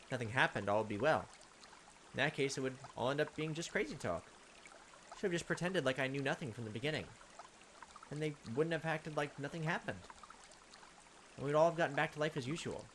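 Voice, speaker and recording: A man's voice: a little high, fairly quick, long pauses, fairly bright tone, slight background noise. A man doing a visual novel let's play, reading aloud expressively and adding sarcastic asides. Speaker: let's player.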